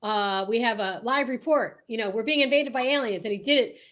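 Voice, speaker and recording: A woman's voice: fairly high pitch, fairly quick, fairly bright tone. A woman hosting a casual live chat, speaking in an enthusiastic, emphatic way and often repeating words for stress. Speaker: host of a radio drama group's live chat.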